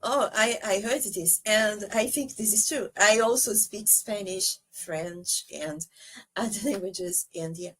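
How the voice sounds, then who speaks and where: Woman, Portuguese first language, unhurried and hesitant, filler-heavy, laughs easily. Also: mid-range voice, a bit bright. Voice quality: soprano singer. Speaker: guest. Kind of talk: casual podcast conversation.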